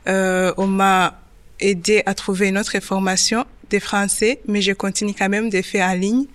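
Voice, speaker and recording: Rwandan woman in her 20s, non-native speaker, halting answers, smooth even voice, dry sound. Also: mid-range voice, no echo. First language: not French. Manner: simple halting French with many restarts. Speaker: interview guest, web development apprentice. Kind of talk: interview answers.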